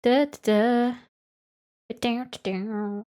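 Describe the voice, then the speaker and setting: A woman's voice: a little high, steady tone, slow pace, warm tone. A woman game streamer chatting casually and reacting on a livestream.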